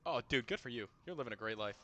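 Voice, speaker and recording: A man streaming a game, talking casually with loud, profane, excitable outbursts. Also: medium pitch, very fast. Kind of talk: casual live gameplay commentary.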